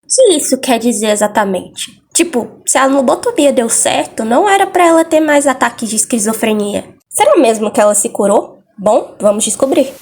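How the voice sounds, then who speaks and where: A woman's voice: on the high side, animated, moderate pace, few pauses, a little mellow. A Brazilian woman narrating a scripted video recap and commentary, explaining things with informal asides. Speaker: video essayist.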